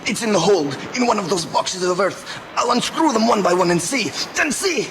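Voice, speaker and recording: An actor playing a crazed, terrified ship's mate in a radio drama, raving in a frantic voice. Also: male voice, high voice, lively intonation, moderate pace. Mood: manic, frantic. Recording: noisy amateur local radio recording.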